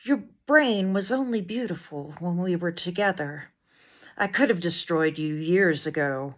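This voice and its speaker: A woman reading poetry aloud as dramatic spoken word, in an intense, deliberate delivery of short, weighted phrases. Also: fairly low pitch, animated. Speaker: poet reading poems aloud.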